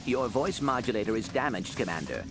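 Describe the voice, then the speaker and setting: An actor playing a small, earnest robot companion in a video game, speaking in a formal, courteous and precise way. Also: male voice, mid-range voice, big pitch swings, a little fast. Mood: politely reasoning. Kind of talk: video game cutscene acting. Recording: loud music behind.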